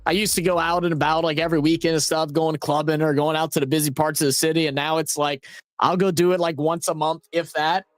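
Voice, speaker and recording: A man's voice: a little high, little pitch movement, quick pace, no echo. Laid-back rambling man in his twenties. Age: 20s. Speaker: co-host of a sports watch party. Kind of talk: casual banter stream.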